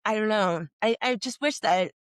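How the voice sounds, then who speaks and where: A woman's voice: medium pitch, normal speed, no echo, studio quality. A woman hosting a podcast, talking in a hesitant, searching way with many fillers and restarts. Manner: hesitant, lots of "like" and restarts, laughs easily. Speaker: podcast host. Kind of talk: conversational interview.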